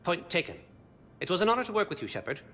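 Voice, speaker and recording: An actor playing a dutiful, intense alien special agent in a video game, with clipped delivery. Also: male voice, high-pitched, very animated, fast talker, sharp tone, slight background noise, exaggerated voice. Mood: solemn.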